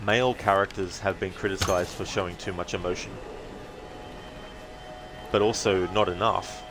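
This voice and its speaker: A man giving casual, reflective livestream commentary as a game streamer, speaking haltingly in short phrases. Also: low voice, steady tone, noisy background.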